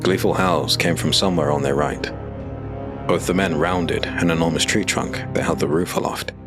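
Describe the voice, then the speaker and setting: A man narrating a dark fantasy audio drama in a measured, steady storytelling voice. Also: extremely low pitch. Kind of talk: scripted narration. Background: music.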